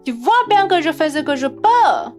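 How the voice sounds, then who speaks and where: A woman's voice: high voice, very animated, moderate pace, a little mellow, faint music behind. A woman playing a whiny young socialite in a student radio play.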